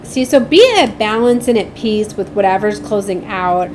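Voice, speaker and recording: Over clearly audible background noise, a woman talks in a medium-pitched voice as she gives a casual tarot reading. She rambles informally, drops thoughts halfway and keeps checking that the listener follows her. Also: moderate pace, darkish tone, poor audio. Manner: chatty, informal, often trailing off mid-thought; frequently seeks agreement with tag questions. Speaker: tarot reader and spiritual channel host.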